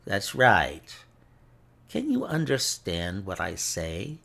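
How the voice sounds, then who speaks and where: A male reader acts out a cruel gentleman villain from a classic detective story. His voice is rough and creaky, with big theatrical swings of pitch. He gloats with mock courtesy and savors each taunt smugly. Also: huge pitch swings, slow pace, full character voice. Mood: cold contempt. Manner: taunting, mock-polite, smug.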